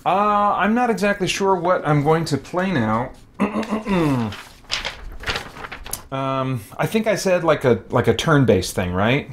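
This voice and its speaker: A man's voice: a little high, sing-song, slow pace, slight background noise. A man hosting a gaming livestream, talking casually and hesitantly as he thinks aloud in an unscripted ramble. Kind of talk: casual unscripted gameplay commentary. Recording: background music behind the voice. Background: music.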